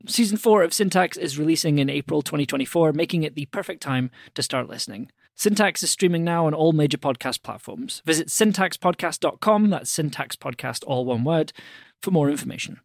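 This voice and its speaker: A male podcast host gives a scripted promo in a fairly high voice, very dry and close. He sounds like he is personally recommending something he enjoys, with enthusiasm. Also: animated, a little fast, vocal fry, no echo.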